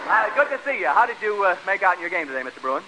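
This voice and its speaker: Guy hosting a vintage radio quiz show, high voice, teasing and stammery, really noisy old broadcast. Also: sing-song, racing, pushed and bright. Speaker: game show host. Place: radio show stage before an audience.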